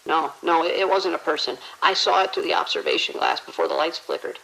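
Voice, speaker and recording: A man playing a terrified, trapped hospital worker in an audio drama, stammering and halting with fear. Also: a little high, huge pitch swings, vocal fry, sharp tone, full character voice, low-quality recording.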